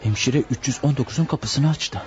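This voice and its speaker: A man's voice: mid-range voice, normal speed, natural voice. An actor playing a cunning male hired killer in a Turkish radio play. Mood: scheming.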